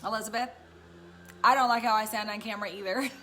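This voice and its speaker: A woman with a raspy voice, chatting casually and encouragingly to viewers on a live stream from home. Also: fairly high pitch, steady tone, fast, a bit bright. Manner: chatty and encouraging, many fillers. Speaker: live-stream host and business coach.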